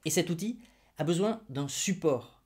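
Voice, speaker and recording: A man's voice: on the high side, lively intonation, normal speed, darkish tone. A man hosting his own video, explaining money with ironic jabs and rhetorical questions put straight to the viewer. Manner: ironic and mocking, then patiently didactic. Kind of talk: opinion explainer to camera.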